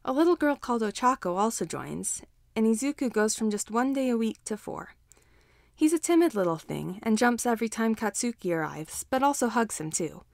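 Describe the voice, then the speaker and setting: A woman's voice: on the high side, very animated, brisk, gravelly, a little mellow, no room sound, clean studio sound. A woman reading a story aloud as a scripted audiobook-style narration.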